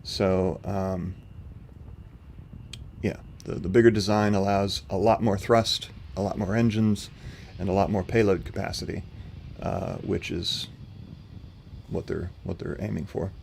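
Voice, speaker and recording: A man hosting a live stream, answering chat questions in a calm, level, unhurried way with a slightly rough voice. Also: deep voice, slight background noise. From not from North Carolina. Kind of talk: casual livestream commentary answering chat. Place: home.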